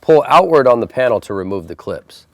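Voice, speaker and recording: A man's voice: mid-range voice, sing-song, brisk, dry recording, studio quality. An American man presenting a step-by-step installation tutorial in an instructional style.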